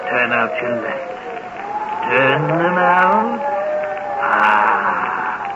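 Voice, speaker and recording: An actor playing a gleeful, menacing old hermit who tells ghost stories in a drawn-out theatrical way, in 1940s radio horror style. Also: male voice, medium pitch, very animated, a loud crowd. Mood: gleeful menace. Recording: scratchy, muffled 1940s radio recording.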